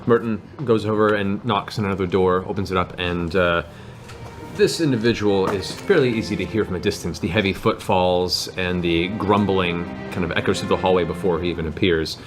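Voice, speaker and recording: Male game master, low voice, descriptive fantasy narration, theatrical, halting, clear music underneath.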